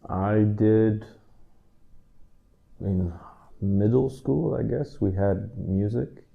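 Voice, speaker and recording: Gravelly deep-voiced man in his thirties. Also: drawn-out words, narrow, tinny audio. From Netherlands. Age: 30s. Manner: relaxed, rambling with many "like" and "you know" asides. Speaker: drummer interviewee. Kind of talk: casual interview answers.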